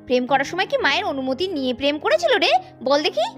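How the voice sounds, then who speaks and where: Chirpy high-voiced woman narrator. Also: sing-song, fast, faint music behind. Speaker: narrator and voice of many dolls. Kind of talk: doll-cartoon narration and acting.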